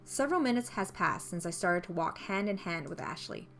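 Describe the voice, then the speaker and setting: A woman's voice: lowish voice, expressive, fairly quick, vocal fry, fairly bright tone, slight background noise. A woman narrating a visual novel playthrough, reading the first-person story text aloud.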